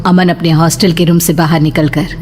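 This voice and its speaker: A woman's voice: fairly low pitch, little pitch movement, brisk. A woman reading scripted, dramatic story narration as the narrator. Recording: clear music bed under voice.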